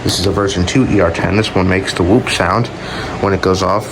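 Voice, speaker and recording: A man giving a casual, unscripted show-and-tell as a video host, speaking in a plain, offhand, halting way. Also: deep voice. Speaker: video host showing a collection. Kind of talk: casual unscripted product showcase. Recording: loud steady ambient hum and hiss.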